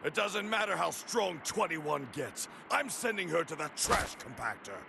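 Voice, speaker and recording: An actor playing a proud, irritable warrior in an anime video game, gravelly and haughty, with curt scorn. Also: male voice, sing-song, moderate pace, exaggerated voice. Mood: scornful. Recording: noisy rumbling ambience behind.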